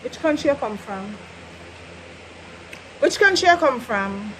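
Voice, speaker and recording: A young woman from Jamaica with a Jamaican accent, teaching patois in an animated, laughing casual vlog. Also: on the high side, measured pace, a little hiss or hum, low-quality recording. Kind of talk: casual chatty lesson.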